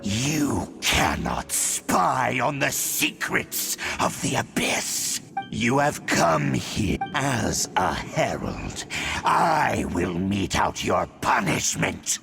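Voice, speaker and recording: A menacing otherworldly villain from a fantasy game. The voice is low and thick with gravel, crawling along very slowly with dramatic swells, every phrase pompous and threatening. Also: male voice, sing-song, over music, full character voice. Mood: wrathful. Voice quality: deep, gravelly. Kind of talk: video game villain acting.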